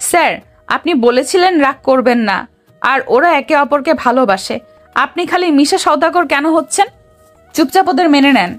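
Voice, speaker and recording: Woman acting a high-pitched feisty, dramatic young woman. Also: lively intonation, moderate pace, soft background music. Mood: sassy. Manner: melodramatic, sassy, teasing. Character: feisty, dramatic young woman officer in love with her boss. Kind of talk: amateur romantic audio story acting.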